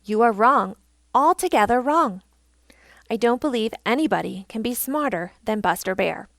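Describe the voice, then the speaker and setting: An excitable little storybook otter, male and proud of himself, acted out by a woman reader. The voice is fairly high with a touch of creak, emphatic and teasing, recorded dry. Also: moderate pace, dry recording. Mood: good-natured. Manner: provoking, emphatic.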